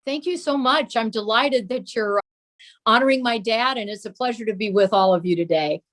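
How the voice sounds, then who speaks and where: A woman's voice: fairly high pitch, normal speed, a bit bright. An older woman with an American accent, speaking as a guest and reminiscing conversationally.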